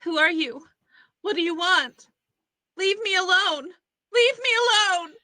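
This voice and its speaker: A woman's voice: very high-pitched, expressive, unhurried, bright, forceful tone, exaggerated voice, poor audio. A woman acting a frightened, traumatized young patient in a horror screenplay table read, pleading and agitated. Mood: panicked, pleading.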